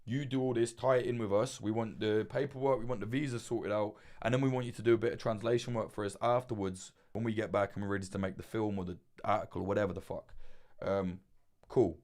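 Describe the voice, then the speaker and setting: Man, livestream host, casual, swears a lot, rambling, restarts, desk mic, slightly low voice. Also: fairly even intonation, fast talker, a little mellow. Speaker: livestream host giving journalism advice. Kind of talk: casual livestream chat answering superchats. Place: indoors at a laptop with webcam. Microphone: desk mic through a mixer.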